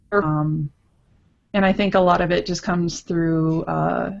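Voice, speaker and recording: A woman's voice: fairly low pitch, creaky. A woman guesting on a casual podcast over an online call with dull, lo-fi audio, talking hesitantly. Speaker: podcast guest, comics artist.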